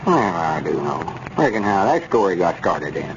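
A man's voice: low-pitched, big pitch swings, moderate pace. A man playing a gullible, stubborn country storekeeper in a 1930s radio comedy, with a rural Arkansas accent and an earnest, rustic drawl. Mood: trusting, folksy. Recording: muffled, noisy 1930s radio recording.

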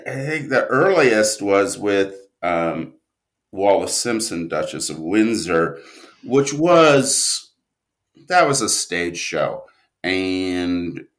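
A man with a very low voice talks through his work in a reflective interview. His delivery is slow and stop-and-start, yet the intonation moves widely, with drawn-out stresses on key words. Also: fairly bright tone. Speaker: guest writer and producer of audio drama.

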